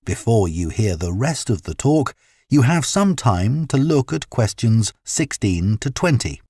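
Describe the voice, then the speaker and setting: A man narrating listening-test instructions in a formal, deliberate way. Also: mid-range voice, big pitch swings, a little mellow, husky, no echo, clean studio sound. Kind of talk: scripted exam instructions.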